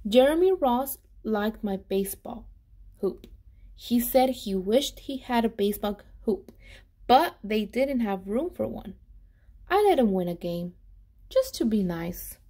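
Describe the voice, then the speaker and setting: A woman reading a storybook aloud to children in an expressive, animated way, pausing to put questions to them. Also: fairly high pitch. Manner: animated, with dramatic gasps and emphasis.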